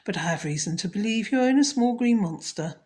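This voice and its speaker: A woman's voice: mid-range voice, lively intonation, fairly quick, a little mellow, pitched above the performer's own voice, close to an everyday voice. A woman voicing a polite but stern policeman in a children's storybook, speaking in a formal, official manner.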